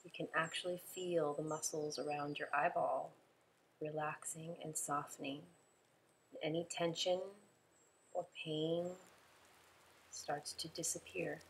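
A woman leading a guided relaxation meditation in a soothing, unhurried way, giving instructions in short, spaced phrases. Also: low voice, flat delivery, gravelly, a little hiss or hum, low-quality recording.

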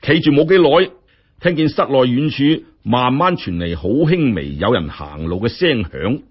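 A man telling a story in colloquial, expressive narration with wry asides. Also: medium pitch, moderate pace, breathy edge. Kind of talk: dramatic audiobook-style narration. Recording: dull, muffled lo-fi audio.

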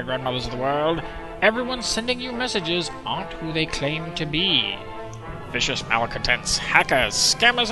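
A man's voice: fairly high pitch, low-quality recording. A man playing a pompous, alarmist civil-defense announcer narrating a mock-serious educational film. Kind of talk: parody of a mid-century educational film narration. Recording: narration over background music. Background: music.

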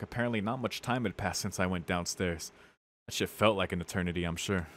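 A man reading a visual novel aloud as a streamer, with casual, sweary asides. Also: sits low. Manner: casual, profane reactions between read passages. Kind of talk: let's-play narration with casual asides. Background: game music.

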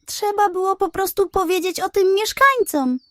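A man's voice: extremely high pitch, moderate pace, sharp tone, dry recording, voice pushed up. A woman voicing a stern but kind-hearted male pig detective in a children's bedtime story. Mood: stern scolding. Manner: stern, huffing.

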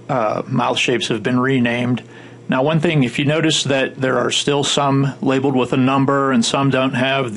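A man giving informal, step-by-step instructional narration as a software tutorial instructor, with a gravelly voice. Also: medium pitch. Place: indoor voice-over for a screen recording. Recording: faint background noise.

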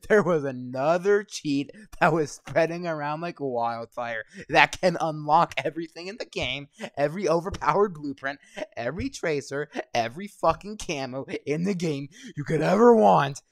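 A young man with a slightly hoarse voice, ranting and swearing constantly while commenting over gameplay. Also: fairly high pitch, normal speed, no echo. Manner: angry, swearing constantly, rambling. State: voice going hoarse. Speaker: gaming vlogger.

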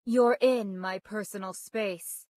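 A woman's voice: mid-range voice, steady tone, slow, a little mellow, dry recording, studio quality, natural voice. A woman voicing a stern, composed teenage student council girl in a video game, formal and clipped in manner. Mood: stern, annoyed. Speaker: video game character voice actor. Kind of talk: scripted game voice lines.

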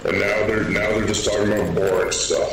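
Deep-voiced man taunting over game chat. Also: little pitch movement, a little fast, heavy background noise. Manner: boastful, taunting, insults other players. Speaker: voice chat player. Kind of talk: trolling voice chat banter.

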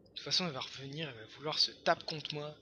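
A hoarse young man chatting casually over his own gameplay, excitable and full of fillers. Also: fairly high pitch, rapid-fire, a little hiss or hum. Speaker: gameplay commentator. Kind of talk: casual let's-play commentary.

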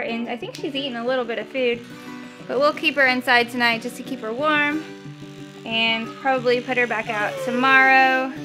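A woman in her thirties narrating a casual homestead vlog in a gentle, soft-spoken way, recorded on a handheld camera indoors. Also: high-pitched, rough recording. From Missouri, USA. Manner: soft-spoken, gentle, talks to animals and children. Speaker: homesteading vlogger. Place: farm building and home kitchen, indoors. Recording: music playing under the voice. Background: background music.

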